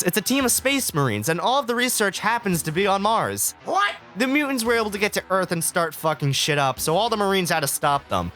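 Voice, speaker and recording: A man with a slightly high voice delivers casual review commentary over background music. His intonation swings widely as he gripes with exasperated sarcasm. He swears freely and talks like an online video host. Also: a bit bright.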